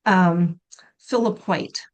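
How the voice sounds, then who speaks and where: A woman chairing a town committee meeting on a video call, polite and brisk as she calls on applicants. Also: on the low side. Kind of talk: running a public meeting.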